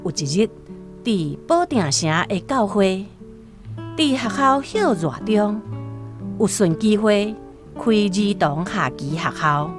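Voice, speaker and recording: A Taiwanese woman narrates a radio drama at an unhurried pace. Her storytelling rises and falls expressively over plucked guitar music. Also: medium pitch, lively intonation. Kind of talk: scripted literary storytelling.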